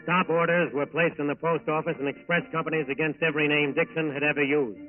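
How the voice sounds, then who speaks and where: A man's voice: fairly high pitch, fairly even intonation, fast, soft background music. A man delivering formal, dramatic scripted narration for a 1940s radio crime show. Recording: muffled old radio broadcast recording.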